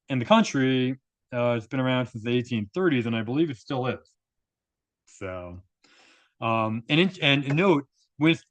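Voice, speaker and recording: A man's voice: medium pitch, no room sound. A man narrating a video, reading an article aloud and adding informal, opinionated commentary with rhetorical asides.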